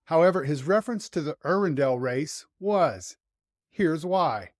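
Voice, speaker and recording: A man's voice: on the high side, animated, moderate pace, dry recording, clean studio sound. A man hosting a motorsport show, delivering scripted commentary on racing news. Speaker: host and motorsport writer.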